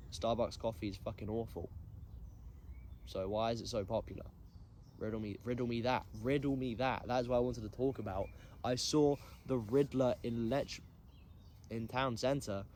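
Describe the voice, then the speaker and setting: A young man from England, nasal from hay fever, hosting a casual solo podcast and rambling with self-deprecating asides and many pauses. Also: lowish voice, darkish tone, slight background noise. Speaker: podcast host.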